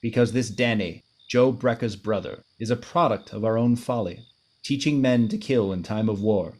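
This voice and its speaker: A man playing a grave, brooding and commanding crime fighter with a secret identity, earnest and measured in 1930s radio drama style. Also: on the low side, darkish tone, natural voice. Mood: brooding, solemn.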